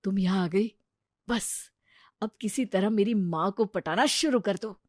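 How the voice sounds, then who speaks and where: A woman's voice: mid-range voice, a little slow, a little mellow, no echo, natural voice. Young man in love, acted by a woman, earnest, subdued, pleading type, storybook drama, dry sound. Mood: reassuring. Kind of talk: Hindi family moral story dramatization.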